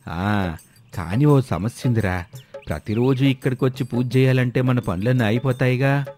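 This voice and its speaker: A man's voice: mid-range voice, moderate pace, darkish tone, soft background music, natural voice. A man voicing a gullible, easily amazed village sidekick who exclaims in rustic colloquial speech in a moral story. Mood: dismayed.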